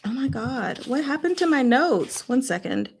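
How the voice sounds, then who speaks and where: A woman's voice: sits high, sing-song, moderate pace, a little mellow, a little hiss or hum. A woman hosting an informal book discussion, talking in a casual, blunt, slangy way and often interrupting herself to address her listeners. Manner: scattered, self-interrupting, talks to herself and audience. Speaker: host of a book discussion session. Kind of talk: informal live book discussion, recapping plot.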